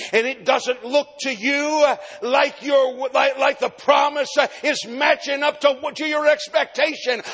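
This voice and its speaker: A man in his sixties preaching a live sermon as pastor, with rhythmic repeated phrases. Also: extremely high pitch. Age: 60s. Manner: rhythmic repeated phrases, swells into chanted shouting. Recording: long hall reverb, faint music behind.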